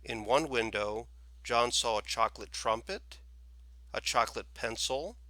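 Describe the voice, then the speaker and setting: A man reading a children's story aloud as expressive scripted narration. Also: mid-range voice, a little slow, dry recording. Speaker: storybook reader, teacher.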